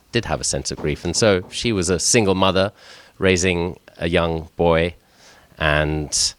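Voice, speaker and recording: A middle-aged man from Switzerland, a chef, tells his life story to a gathering with a deep, low voice. He speaks haltingly and stumbles over repeated words as he begins each thought. The sound is dry and close, with almost no room around it. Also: a bit bright, no echo. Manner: hesitant, repeats words when starting sentences. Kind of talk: personal story talk.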